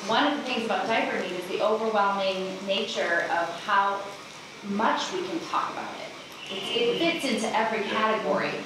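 A woman's voice: mid-range voice, moderate pace. A middle-aged woman, a nonprofit director, speaking from the audience during a panel question session. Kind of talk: introducing herself and asking a question at a panel Q&A. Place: seated in conference room audience, indoors. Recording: very echoey room, distant mic, noisy ambience.

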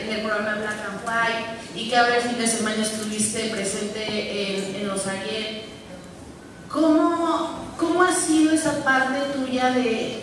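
Lady reporter asking a long rambling question, bit high voice, far off in a big echoey room. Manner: wordy, hesitant questioning. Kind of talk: press conference question. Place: large event hall, in the audience. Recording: echoey hall, distant mic, noisy.

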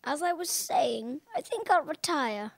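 Young woman playing squeaky-high Miss Sophie, genteel hostess. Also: very animated, unhurried, gravelly, no room sound, heavily acted. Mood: gracious, formal. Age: young adult. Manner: formal, imperious. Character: Miss Sophie, genteel elderly lady hosting dinner. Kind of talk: amateur radio play acting.

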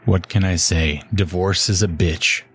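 A man's voice: deep voice, fairly even intonation, measured pace, soft background music. A man playing a bewildered, volatile asylum patient with a violent streak in an audio drama. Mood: dazed, confused.

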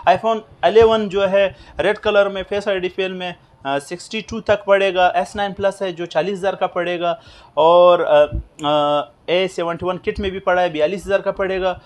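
A man in his thirties with a Pakistani Urdu accent and a fairly high voice runs through phone prices to the camera. He talks briskly and repetitively, filling pauses with "uh". He is close on a lapel mic inside a small shop. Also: steady tone.

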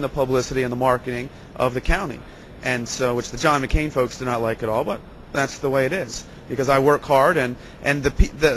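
A man's voice: medium pitch, a bit bright. American man interviewed in a noisy hall. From California, US. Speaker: interviewee, local political activist. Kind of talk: conversational interview storytelling. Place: large concrete backstage area indoors. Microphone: handheld microphone. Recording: clear ambient noise of busy backstage hall.

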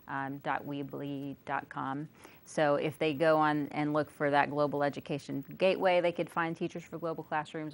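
A woman in her forties, a teacher and guest on a studio interview show, telling her story with enthusiasm into a lapel mic. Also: low-pitched. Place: small TV studio.

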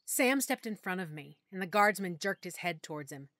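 A woman narrating a full-cast audiobook in first person, with expressive, clear storytelling. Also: on the low side, moderate pace, no echo, studio quality. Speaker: narrator and lead character.

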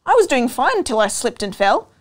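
A woman voicing a mischievous, anxious young schoolboy in a children's storybook, with expressive exclamations. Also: high-pitched, a little fast. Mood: defensive. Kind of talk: children's storybook read-aloud.

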